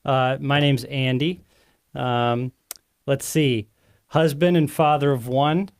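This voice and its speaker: A man's voice: medium pitch, fairly even intonation, slow, smooth. A man in his thirties hosting a casual podcast, talking in a friendly, rambling way and often correcting himself. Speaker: host.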